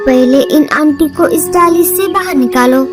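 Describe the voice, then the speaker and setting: Earnest baby bird, male acted by a woman, high, slow, innocent children's cartoon voice. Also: loud music behind the voice. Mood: pleading.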